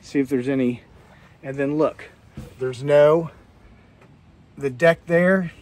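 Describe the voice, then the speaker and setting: A man's voice: mid-range voice, slow, darkish tone. A middle-aged man giving a casual, rambling walkthrough of old boats, talking outdoors into a handheld camera. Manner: plainspoken, rambling, trails off and restarts. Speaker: sailing vlogger. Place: outdoors in a boatyard, walking around boats. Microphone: handheld camera, selfie framing.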